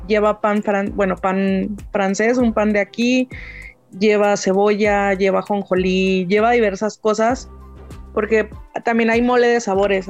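A woman's voice: medium pitch, a little mellow. A woman from northern Mexico with a northern Mexican accent, chatting frankly and firmly as a guest. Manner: frank, firm and talkative. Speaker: podcast guest. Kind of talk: casual chat.

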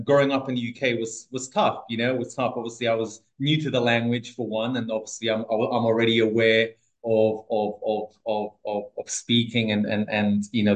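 A man's voice: medium pitch, rough recording. A man from Portugal in his thirties, a podcast guest telling his life story in a casual, hesitant way with many restarts and fillers.

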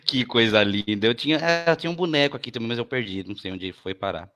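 A man's voice: mid-range voice, lively intonation, fast. Chatty Brazilian man hosting a podcast. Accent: São Paulo Brazilian. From Guarulhos, Brazil. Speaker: podcast host.

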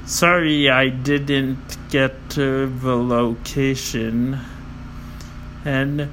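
Man, flat monotone, slow hesitant list reader who trips over names. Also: medium pitch, a little hiss or hum. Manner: slow, halting, with many fillers and self-corrections; stumbles over foreign names and apologizes. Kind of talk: reading a list of world records.

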